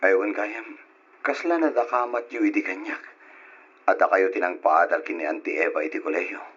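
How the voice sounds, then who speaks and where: A man's voice: sits low, fairly quick, fairly bright tone, slightly rough. A man playing a resentful, suspicious young adult son in an old Ilocano radio drama, on a dull recording.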